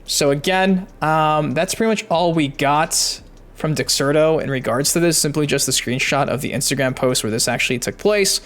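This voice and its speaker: A man's voice: fairly high pitch, moderate pace, a bit bright. A man hosting gaming news commentary, chatty and emphatic, talking directly to his viewers. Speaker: YouTube gaming news host. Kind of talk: energetic video commentary, reading articles aloud.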